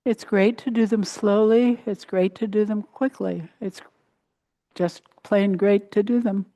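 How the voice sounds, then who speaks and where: A woman teaching a guided meditation in a gentle, unhurried way, pausing between short phrases. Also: mid-range voice, fairly even intonation, no room sound. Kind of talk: guided meditation, body scan.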